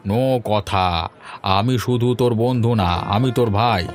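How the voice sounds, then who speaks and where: A man's voice: medium pitch, fairly even intonation, measured pace, natural voice. A man voicing an affectionate, teasing old village grandfather in a chatty, familiar way for a cartoon. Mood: tender. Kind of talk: Bengali cartoon voice acting.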